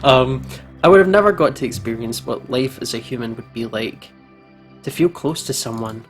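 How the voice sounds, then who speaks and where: A man's voice: medium pitch, moderate pace. A man hosting a gaming video, reading story narration aloud casually and stumbling over words now and then. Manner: giggly, stumbles while reading. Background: game music.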